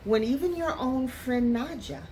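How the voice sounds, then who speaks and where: A woman reading a picture book aloud as a teacher does for young students, with deliberate, expressive phrasing. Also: high voice, slight background noise. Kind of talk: expressive read-aloud storytelling for children.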